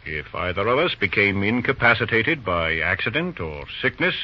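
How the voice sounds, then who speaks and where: A man's voice: lowish voice, big pitch swings, normal speed. An actor playing a pompous, stubborn, wealthy father and railroad president in an old-time radio drama, with a hemming, stammering, self-important delivery. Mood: smug, resolute. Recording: dull, noisy old radio recording.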